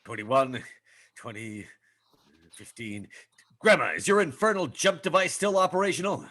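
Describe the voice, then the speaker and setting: Man playing a husky, theatrical, gallant starship captain. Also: fairly high pitch, lively intonation, normal speed, pushed and bright. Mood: urgent, commanding. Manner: formal, theatrical, clipped orders. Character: proud, gallant, old-fashioned male starship captain. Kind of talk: animated script table read.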